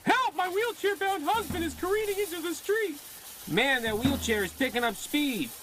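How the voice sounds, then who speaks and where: A man's voice: very high-pitched, lively intonation, moderate pace, noisy background. A man voicing a melodramatic, pleading wife in a parody of old radio drama. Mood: frantic. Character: shrill, melodramatic wife pleading for her husband.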